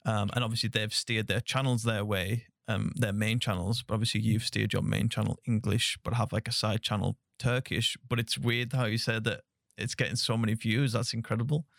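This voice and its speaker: Gravelly low-voiced podcast host. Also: male voice, steady tone, fairly quick, fairly bright tone, no echo, clean studio sound. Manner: friendly, chatty, filler-heavy. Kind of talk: casual interview chat.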